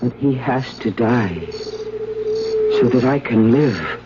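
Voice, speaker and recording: A desperate, feeble soul in an old radio thriller. The delivery is halting and very slow, with wildly swinging pitch, on a muffled vintage recording. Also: huge pitch swings. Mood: desperate, hesitant. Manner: halting, hesitant. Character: desperate, weak person wishing someone dead. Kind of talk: 1970s radio drama acting.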